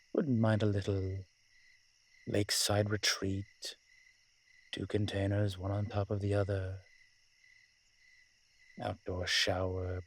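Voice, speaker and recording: A man playing a wry, flirtatious immortal incubus demon in a roleplay audio drama, with a husky voice and a dry, teasing, conversational manner. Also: sits low, heavily acted.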